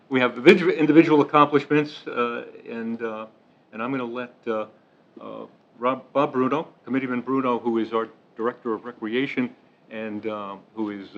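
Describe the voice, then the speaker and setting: A middle-aged man speaks as mayor at a township committee meeting, stumbling over fillers and slipping in jokes. His voice comes through a dull, lo-fi meeting-room recording. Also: medium pitch. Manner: halting, many fillers, joking.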